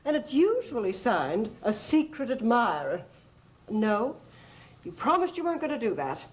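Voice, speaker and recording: A woman's voice: a little high, sing-song, moderate pace. An actress playing an elegant, coy older woman in a theatrical, gushing gothic soap opera style. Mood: arch, teasing.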